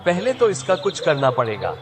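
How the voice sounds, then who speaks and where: Man playing a chatty sly young brother-in-law, bouncy voice, a bit high, music behind. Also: normal speed, a crowd in the background. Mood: grumbling. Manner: chatty and lively. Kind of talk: cartoon voice acting.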